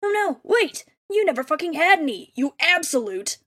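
A woman voices a hot-headed young husband in an audio drama. The voice is high and loud, leaping wildly in pitch, irritable and crude. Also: sing-song, moderate pace. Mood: furious, exasperated. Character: Karkat, a loud, foul-mouthed, short-tempered young husband. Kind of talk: fan audio drama acting.

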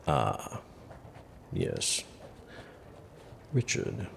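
An actor playing a haughty, sardonic prince in a medieval audio drama, speaking in a dry, pompous, measured way. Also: male voice, rumbling low, wildly swooping pitch, creaky, fairly bright tone, a little hiss or hum, full character voice. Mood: scheming. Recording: reverberant hall with faint music behind.

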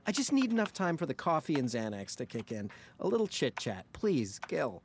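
Comedic audio drama: cynical, melodramatic office best friend, foul-mouthed and needling, very animated intonation. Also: male voice, on the high side, brisk, background noise. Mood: sardonic, needling. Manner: sardonic, melodramatic, profane.

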